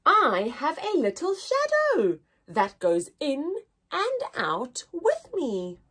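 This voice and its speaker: A woman reading aloud to young children as a story-time teacher, expressive and sing-song with exaggerated wonder and a breathy voice. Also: high voice, takes it slowly.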